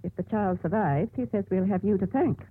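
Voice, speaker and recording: A woman's voice: mid-range voice, brisk, husky, lightly acted. An actress playing a stern, authoritative Mother Superior in a 1950s radio drama. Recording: muffled old radio broadcast with music.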